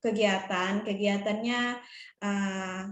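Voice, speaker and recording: Polite, deferential woman teacher, mid-pitched, recorded in an echoey room. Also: fairly even intonation, slow. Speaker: English teacher. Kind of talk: coaching conversation.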